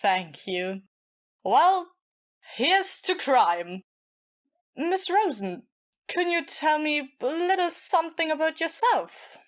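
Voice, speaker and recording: A woman's voice: sits high, big pitch swings, slow, muffled recording, full character voice. A woman in an audio play acting a bitter, drunken and volatile jilted young woman with a taunting manner. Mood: brittle, mocking.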